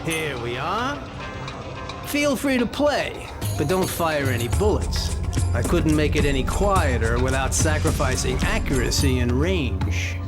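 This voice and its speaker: An actor playing an older, genial and courteous arms dealer in a video game cutscene. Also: male voice, on the high side, lively intonation, measured pace, loud music behind the voice.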